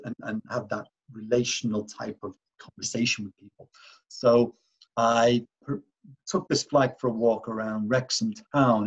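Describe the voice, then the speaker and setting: A man's voice: mid-range voice, slightly rough, dull audio. A man giving an artist talk over slides, hesitant and full of restarts and fillers. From Wales.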